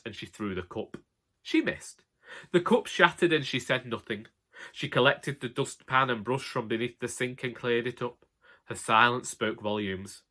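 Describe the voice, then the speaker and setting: A man's voice: medium pitch, sharp tone. A man with a Northern English accent narrating a comic first-person audiobook as a droll, grumbling, long-suffering husband.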